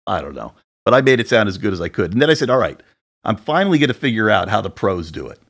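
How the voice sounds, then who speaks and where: A man in his forties with a deep voice, co-hosting a podcast and chatting casually from his home setup, rambling a little. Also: very animated, fast talker, fairly bright tone. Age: 40s. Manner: chatty, rambling, self-deprecating about sound gear. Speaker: podcast co-host. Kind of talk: casual chat. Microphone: studio dynamic mic at home.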